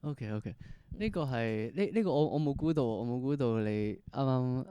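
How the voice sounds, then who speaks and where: A man with a Hong Kong Cantonese accent hosts in a mellow, very smooth voice. He interviews casually, circling back over his phrases and dropping in bits of English. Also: medium pitch, lively intonation, normal speed, no echo. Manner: repeats words and phrases, mixes in English words. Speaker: podcast interview host.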